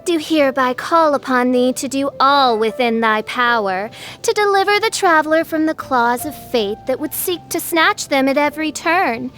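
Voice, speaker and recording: A woman voicing a theatrical teenage girl in a video game who styles herself a dark princess, with grandiose, archaic speech. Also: high-pitched, expressive, moderate pace, faint music behind. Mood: commanding, lofty. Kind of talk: scripted video game character acting.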